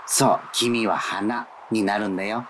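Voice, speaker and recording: A woman in a whimsical radio drama playing a dying withered leaf, a gently philosophical male character speaking in a theatrical, poetic manner. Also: extremely low pitch, fairly even intonation, unhurried, slight background noise. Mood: wistful, resigned.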